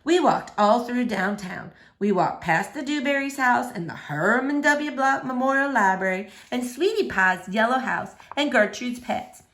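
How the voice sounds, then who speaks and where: A woman reading a children's novel aloud as expressive story narration. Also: fairly high pitch, unhurried, few pauses. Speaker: storybook reader.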